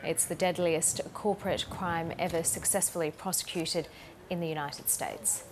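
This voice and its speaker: A woman's voice: on the low side, fairly even intonation, normal speed, noisy background. An Australian woman reading scripted television news as the anchor.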